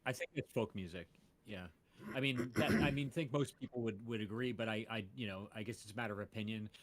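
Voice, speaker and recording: A man's voice: on the low side, quick pace. A man, a podcast host, chatting casually about music with many fillers and restarts.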